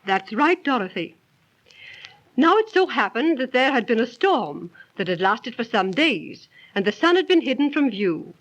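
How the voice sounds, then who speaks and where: An actress playing a proper, precise, genteel aunt who scolds gently and tells tales, 1930s radio drama style. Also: female voice, fairly high pitch. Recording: dull, lo-fi 1930s radio recording.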